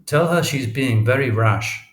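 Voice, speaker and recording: A man voicing an educated university professor in an audiobook, formal, measured and guarded. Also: mid-range voice, animated, echoey room, natural voice. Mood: insistent. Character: evasive, educated male university professor, outwardly polite. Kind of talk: audiobook character dialogue.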